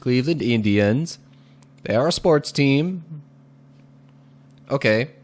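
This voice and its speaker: A man giving casual gameplay commentary while speaking in a put-on, exaggerated foreign accent, measured and deliberate, chuckling now and then. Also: mid-range voice, expressive, a little mellow. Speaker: gaming video host.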